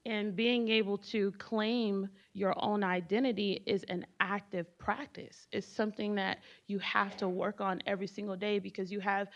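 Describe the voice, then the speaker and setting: Gravelly-voiced woman on a panel. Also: medium pitch, flat delivery, normal speed, few pauses. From United States, Haitian family. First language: Haitian Creole. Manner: earnest, reflective. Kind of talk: panel discussion. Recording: muffled lo-fi event recording.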